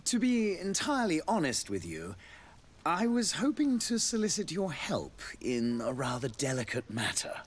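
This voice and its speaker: Husky, courtly fantasy ambassador, animated pitch. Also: male voice, moderate pace, full character voice. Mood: confiding, grave. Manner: formal, florid, diplomatic. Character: courtly, devout male ambassador of a theocratic nation. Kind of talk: scripted fantasy video game dialogue.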